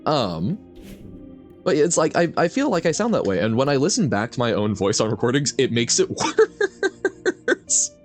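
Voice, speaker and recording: A man's voice: medium pitch, huge pitch swings, unhurried, soft background music. A man streaming a video game, talking casually to his chat in a rambling, reflective way and laughing often. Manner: reads and answers chat. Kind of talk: casual gameplay commentary.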